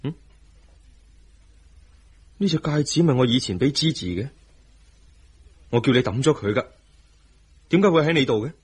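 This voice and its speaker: An actor playing an assertive, affectionate young husband in a Hong Kong Cantonese radio drama, husky and quietly insistent. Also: male voice, mid-range voice, expressive, normal speed, pauses often. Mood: puzzled.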